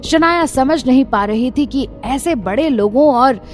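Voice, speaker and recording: A woman's voice: high voice, animated, measured pace. A woman narrating a scripted audio drama as a dramatic storyteller. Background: background music.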